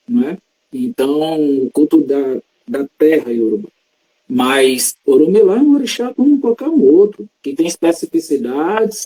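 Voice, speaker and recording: A man's voice: fairly high pitch, mellow, slightly rough, rough recording. A man from Salvador, a religious practitioner explaining his tradition patiently in an interview. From Salvador, Bahia, Brazil. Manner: measured, teacherly, explains terms patiently.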